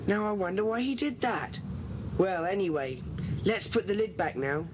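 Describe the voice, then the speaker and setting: Actor as schoolboy detective leader, brisk, bossy, organising, children's audio drama, noisy old cassette. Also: mid-range voice, lively intonation, natural voice. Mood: wary, decisive.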